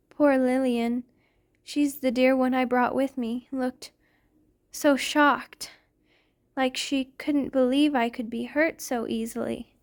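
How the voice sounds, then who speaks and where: Slow, high-voiced tender vampire queen, played by a woman. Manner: soft, formal, confessional, hesitant phrasing. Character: centuries-old vampire queen, tender, protective, remorseful lover. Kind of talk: intimate ASMR roleplay monologue.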